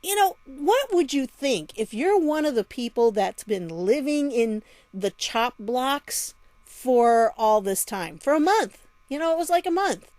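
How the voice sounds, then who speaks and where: A woman's voice: sits high, lively intonation, measured pace, darkish tone, no room sound. A woman giving casual, conversational news commentary with a sardonic edge and frequent rhetorical questions. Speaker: vlog host and commentator. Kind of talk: casual news commentary, reading statements aloud.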